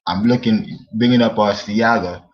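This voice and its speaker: Deep-voiced man, hesitant, slightly muffled. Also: fairly even intonation, normal speed. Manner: hesitant, many fillers, agreeable. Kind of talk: casual history discussion.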